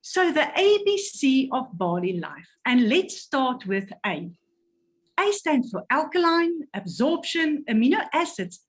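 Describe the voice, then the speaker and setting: A woman with a fairly high, very lively voice talks slowly through a health product presentation. She reads with care, stopping to work through hard technical words. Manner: careful, stumbles over technical words.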